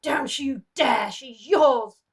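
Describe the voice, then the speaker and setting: A woman's voice: mid-range voice, little pitch movement, normal speed, creaky, mellow, husky. A woman reading aloud, voicing a battered, defiant captive wife who mutters through swollen lips and screams in desperation. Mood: screaming.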